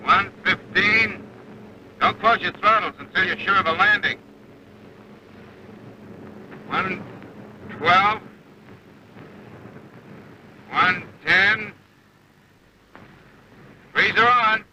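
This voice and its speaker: Clipped flight instructor, 1940s training film, real sharp high voice, bit of plane noise. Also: male voice, slow pace, long pauses, highs cut off, exaggerated voice. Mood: brisk, firm. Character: seasoned, exacting male bomber flight instructor. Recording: old 1940s film soundtrack, engine noise.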